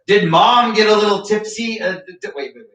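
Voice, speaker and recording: A man's voice: high voice, brisk, crowd noise. A man playing a bitter, drunken man tormented by grief and guilt in a staged reading, with a mocking, theatrical, needling manner. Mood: mocking, heated. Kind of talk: dramatic monologue in a staged play reading. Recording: roomy, echoey, distant mic.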